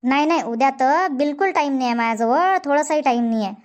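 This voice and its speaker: A woman's voice: sits high, fast, natural voice. A woman voicing a busy, chatty village auntie in a comedy cartoon, rambling in a folksy way with a Varhadi Marathi accent from Vidarbha. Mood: explaining.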